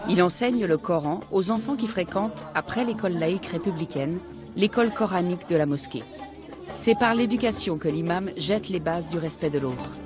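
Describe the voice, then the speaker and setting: A woman reading a scripted documentary narration in a measured, even way. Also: lowish voice, over music, crowd noise.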